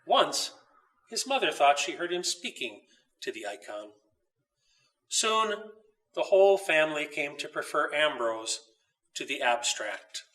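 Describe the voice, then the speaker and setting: A man narrates a children's story in a deliberate, expressive read. His voice is bright and forceful, pitched somewhat high, and sits a little back from the microphone. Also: distant mic, poor audio. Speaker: author reading his story.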